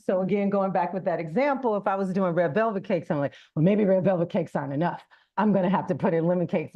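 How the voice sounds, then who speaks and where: A woman's voice: on the low side, dark tone, muffled recording. A woman giving an informal business presentation as a guest speaker, conversational, using rhetorical questions and acted-out examples.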